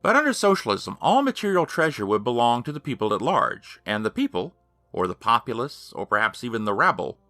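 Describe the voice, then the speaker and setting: A man's voice: medium pitch, big pitch swings, a little fast, bright, forceful tone, no echo, soft background music. A man narrating an audiobook in a formal, emphatic, declamatory manner.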